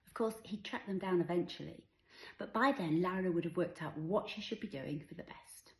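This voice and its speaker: A woman's voice: on the low side, normal speed, roomy sound, low-quality recording. A woman reading her own novel aloud as scripted story narration.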